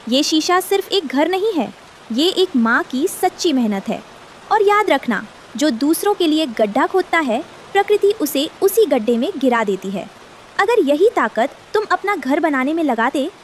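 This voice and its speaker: A woman's voice: high-pitched, sing-song, normal speed, slight background noise. A woman voicing a protective, determined mother bird in a children's cartoon, reassuring and moralizing. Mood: stern, moralizing. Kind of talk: animated cartoon story dialogue.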